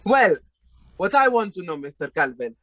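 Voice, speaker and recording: A man's voice: sits high, sing-song, moderate pace, fairly bright tone. An actor playing a meek, flustered father in a radio play, stammering and apologetic as he talks. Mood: sheepish, hesitant. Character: meek, flustered immigrant father shopping with his brat.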